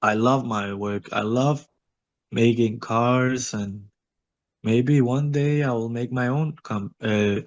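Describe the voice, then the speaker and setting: A sick man answering interview questions in short, simple, halting sentences. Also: mid-range voice, muffled recording. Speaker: guest.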